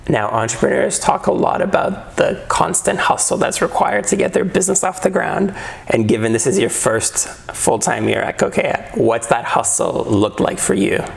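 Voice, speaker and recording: A man's voice: mid-range voice, expressive, normal speed, gravelly. A man hosting an interview, encouraging his guest and paraphrasing his points back to him. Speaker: interview host. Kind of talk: casual interview conversation.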